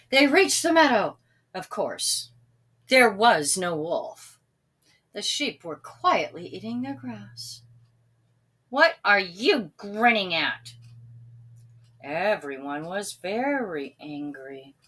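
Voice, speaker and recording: A woman reading a children's story aloud expressively, pausing now and then to address young listeners. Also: medium pitch, big pitch swings, a bit bright, slightly rough. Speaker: storybook reader.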